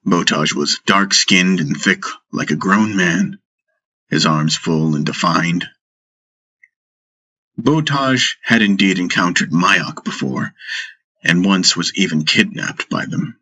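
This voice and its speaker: A man narrating a fantasy audiobook in a measured, dramatic storytelling style. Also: deep voice, pushed and bright.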